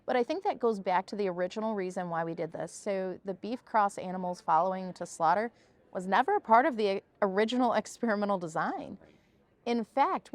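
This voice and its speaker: The woman scientist you'd hear on a research podcast panel, walking listeners through results point by point. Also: mid-range voice. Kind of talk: explanatory research discussion.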